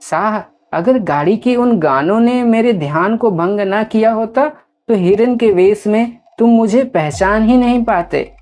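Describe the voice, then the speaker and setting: A woman's voice: mid-range voice, lively intonation, unhurried, darkish tone, voice pushed up, lightly acted. A woman voicing an old male shape-shifting ascetic, proud and familiar, in a storybook tale. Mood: confident.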